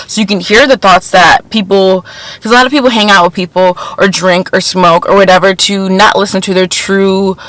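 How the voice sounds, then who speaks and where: A woman's voice: medium pitch, bright, forceful tone, no room sound, low-quality recording. Young woman podcast host, slightly congested and nasal, earnest self-help talker, close and dry. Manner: earnest, repeats phrases, spiritual wording. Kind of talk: casual spiritual self-help chat.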